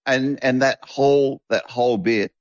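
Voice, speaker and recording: A man in casual chat, talking in a halting way and restarting his sentences often. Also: mid-range voice, no echo. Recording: dull lo-fi sound.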